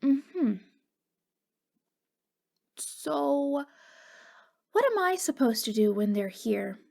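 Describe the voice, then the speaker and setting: High, slow-paced wistful ghost girl, acted by a woman. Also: big pitch swings, gravelly, darkish tone, heavily acted. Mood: curious, wary. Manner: halting, stammering, emotionally fragile. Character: wistful, wounded ghost girl, long dead since wartime. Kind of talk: ASMR roleplay, intimate dramatic monologue.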